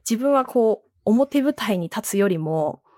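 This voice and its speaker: A woman's voice: mid-range voice, lively intonation, unhurried, mellow, dry recording, clean studio sound. A woman speaking politely as a podcast guest, explaining her work in long, linked conversational clauses. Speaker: podcast guest, audio production staff member. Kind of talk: conversational interview, telling own career.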